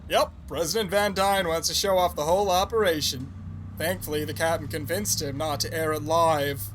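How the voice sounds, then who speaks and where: A man's voice: fairly high pitch, fairly even intonation, normal speed, lightly acted. Man acting male team leader, casual, reassuring, audio drama, slight background noise. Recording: faint background noise and ambience.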